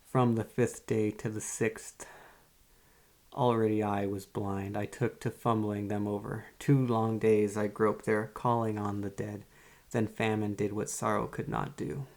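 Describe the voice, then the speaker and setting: A man playing a calm, morbidly literary psychiatrist in an ASMR roleplay, speaking in a measured, solemn and quietly controlling way. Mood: solemn, grave. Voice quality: low, gravelly. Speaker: therapist. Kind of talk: ASMR roleplay, poetry recitation and monologue.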